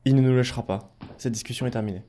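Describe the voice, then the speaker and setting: Man playing a mellow, earnest adventuring leader. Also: medium pitch, racing, a little hiss or hum, natural voice. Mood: firm, serious. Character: level-headed male group leader, loyal, firm peacemaker. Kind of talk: French audio fiction acting.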